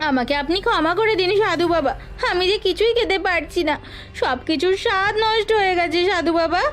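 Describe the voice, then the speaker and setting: A woman playing an excitable village housewife in a melodramatic cartoon, given to exclaiming and beseeching. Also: extremely high pitch, a little fast, faint music behind. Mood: pleading.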